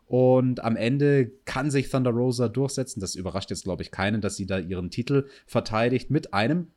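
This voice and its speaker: A man's voice: medium pitch, normal speed, darkish tone. Male podcast host recapping wrestling matches, casual conversational delivery. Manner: recaps matches in detail, asks co-host questions. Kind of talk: casual review chat.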